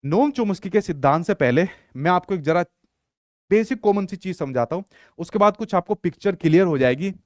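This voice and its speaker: A man's voice: a little high, no echo, clean studio sound. A young male teacher lecturing in an online exam-prep class, repeating points and asking rhetorical questions he answers himself. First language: Hindi.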